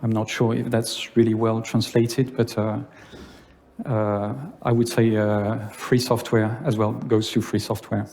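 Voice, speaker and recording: A man from France with a French accent giving a conference talk in English, pausing often with filler sounds. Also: fairly low pitch, flat delivery, a little mellow, a little hiss or hum. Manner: hesitant, many filler sounds. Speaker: conference speaker. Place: lecture hall. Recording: long reverb of a hall.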